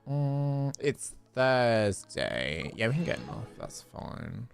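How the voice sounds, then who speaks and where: Casual livestream gamer, lively swooping pitch, unhurried, exclamatory self-talk. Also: vocal fry, clean tone. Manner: animated, exclamatory, talking to self. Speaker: game streamer, host. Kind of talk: casual livestream gameplay chatter. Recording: game music behind. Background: video game music.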